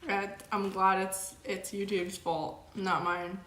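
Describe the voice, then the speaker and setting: A woman's voice: mid-range voice, flat delivery, takes it slowly, fairly bright tone, reverberant, slight background noise. A young woman hosting a casual livestream, reading chat aloud and rambling with lots of fillers. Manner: rambling, filler-heavy, frequent "like". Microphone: camera mic.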